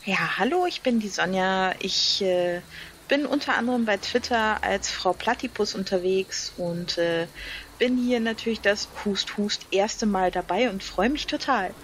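A woman's voice: medium pitch, a little slow, slight background noise, rough recording. A woman talking casually as a quiz contestant, introducing herself.

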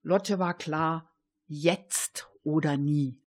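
A woman narrates a scripted crime story for radio. Her delivery is slow and deliberate, with a slightly husky tone. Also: deep voice, fairly even intonation.

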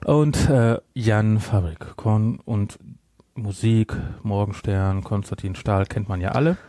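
A man's voice: lowish voice, takes it slowly, warm tone, dry recording. Middle-aged man, bantering podcast host, dry sound. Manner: bantering, interrupting. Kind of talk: casual chat.

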